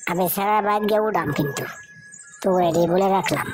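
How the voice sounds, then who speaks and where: A woman's voice: mid-range voice, quick pace, close to an everyday voice. Woman playing an insistent lovestruck young villager. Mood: defiant, insistent. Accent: eastern Bangladeshi. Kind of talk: cartoon voice acting. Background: music.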